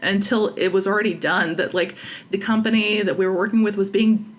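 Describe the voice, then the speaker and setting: A woman's voice: medium pitch, steady tone, a little fast. A woman chatting casually as an interview guest, in a giggly, self-deprecating way. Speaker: guest playwright and audio dramatist.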